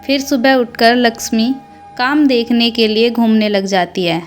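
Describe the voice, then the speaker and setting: Female narrator for a cartoon storybook tale, scripted read, slightly high pitch, music bed. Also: fairly even intonation, measured pace. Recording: music under the voice. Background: background music.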